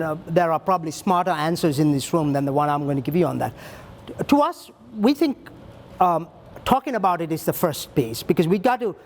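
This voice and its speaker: Earnest high-voiced man answering questions. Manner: earnest, thinks aloud with fillers and restarts. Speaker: child welfare leader answering audience questions. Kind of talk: panel Q&A, conversational.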